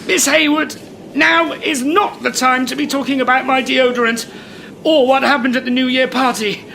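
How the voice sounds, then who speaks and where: A man's voice: very high voice, fairly even intonation, normal speed, vocal fry, pushed and bright, slight background noise, heavily acted. A man with a British accent playing a flustered, pompous, exasperated supermarket manager in a comedic audio drama. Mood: panicked irritation.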